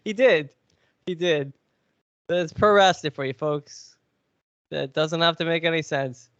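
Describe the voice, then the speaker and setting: A man's voice: a little high, measured pace, fairly bright tone, no echo, lo-fi sound. A man with a cold, sniffly, chatting and joking as he hosts a podcast quiz. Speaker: podcast co-host. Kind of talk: casual podcast chat.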